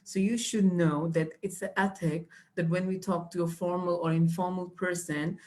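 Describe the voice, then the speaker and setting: A woman's voice: on the low side, steady tone, normal speed, a little mellow. A young woman, teaching exam tips patiently with many fillers and restarts. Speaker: IELTS trainer. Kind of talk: live online teaching.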